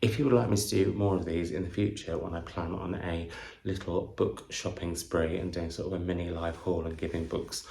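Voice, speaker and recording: Guy doing a chatty book vlog, low creaky voice, rambles off into little asides, bit of room echo. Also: fairly even intonation, fairly quick, dark tone. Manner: chatty, effusive, wandering asides and self-questioning. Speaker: book vlogger, channel host. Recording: roomy indoor echo.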